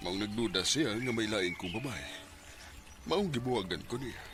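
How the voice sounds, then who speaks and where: A man's voice: lowish voice, lively intonation, moderate pace, over music, lightly acted. An actor playing an earnest, conflicted married father in a Filipino radio drama, with a Bisaya accent. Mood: confessing, regretful. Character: conflicted married father, earnest, torn between love and family. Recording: noisy radio drama with ambient effects.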